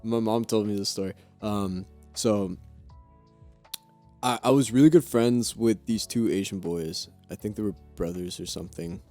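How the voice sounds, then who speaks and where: A young man from Romania chats on a podcast in a relaxed, slangy way, laughing easily. His voice sits low. Faint music plays behind him. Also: moderate pace. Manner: laid-back, laughs often, slangy. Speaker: podcast co-host. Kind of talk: casual chat.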